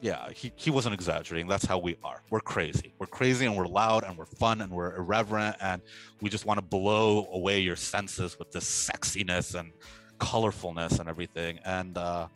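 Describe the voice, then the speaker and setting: Deep-voiced Peruvian man, expressive interviewee, light music bed. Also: moderate pace, poor audio. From Peru. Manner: animated, expressive storytelling. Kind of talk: casual interview answer.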